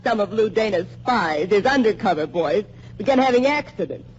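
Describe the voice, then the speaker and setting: A woman's voice: mid-range voice, expressive, moderate pace, slight background noise, close to an everyday voice. An actress playing a frightened but defiant young woman spy in 1940s radio acting style. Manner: defiant and breathless. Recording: muffled 1940s radio recording.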